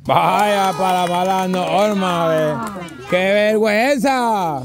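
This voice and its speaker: A man's voice: high-pitched, slow pace, vocal fry. A man with a Salvadoran accent hosting and refereeing outdoor games, teasing and urging players on with repeated phrases. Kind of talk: lively game commentary and banter. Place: outdoor park with dirt ground and trees. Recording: outdoors amid a loud crowd.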